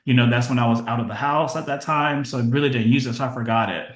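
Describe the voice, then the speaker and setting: Relaxed man in his thirties, echoey room. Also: medium pitch, fast. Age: 30s. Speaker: podcast guest. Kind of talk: casual interview chat.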